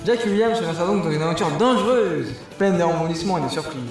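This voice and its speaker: Man as sarcastic narrator, high voice, heavy echo. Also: quick pace. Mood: mock-grand, deadpan. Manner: deadpan, mocking. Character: sarcastic, mischievous male narrator who needles characters. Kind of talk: scripted comedic narration. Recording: very echoey, faint music behind.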